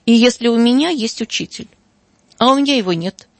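A woman's voice: fairly high pitch, lively intonation, fairly quick, no echo. A middle-aged woman, a school director, speaking as a guest on a radio talk show, earnest and hesitant with many fillers.

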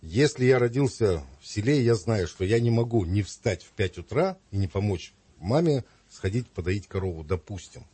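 Middle-aged male guest, earnest and reflective, dark husky voice. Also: fairly low pitch, lively intonation, normal speed, few pauses, no echo. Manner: earnest, reflective, many hesitations. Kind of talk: earnest conversational opinion.

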